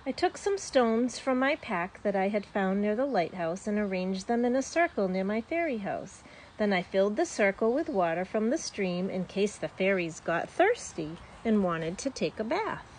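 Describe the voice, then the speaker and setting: A woman's voice: medium pitch, expressive, normal speed, darkish tone, low-quality recording. Woman reading a children's story, background noise. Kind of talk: expressive read-aloud of a children's story.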